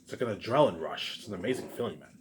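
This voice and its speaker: Male online video maker, lowish voice, very quick delivery, looping unscripted rant that surges loud then sinks to a mutter. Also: lively intonation, a little hiss or hum. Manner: repetitive, circling back to same phrases; emphatic, swinging between loud bursts and low muttered asides. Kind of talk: rambling unscripted monologue to viewers.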